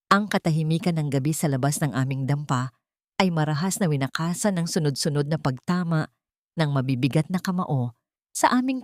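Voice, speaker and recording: A woman's voice: deep voice, no room sound, clean studio sound. A woman reading a scripted first-person story in a dramatic storytelling style. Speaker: story narrator.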